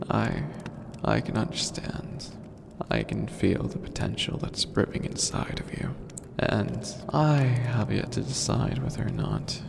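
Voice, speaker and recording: Gravelly, slow ancient demon overlord. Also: male voice, on the low side, full character voice. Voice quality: gravelly, breathy, often sinking to a whisper. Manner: slow, deliberate, lofty and formal. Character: ancient skeletal demon overlord, calm, regal, amused, testing subordinates. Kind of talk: ASMR roleplay monologue. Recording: long cavernous reverb, noisy ambient bed.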